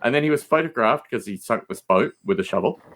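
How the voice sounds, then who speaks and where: Animated, rambling male storyteller. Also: medium pitch, fast talker. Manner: rambling, jokey, digressive. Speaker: podcast guest. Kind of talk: casual storytelling chat.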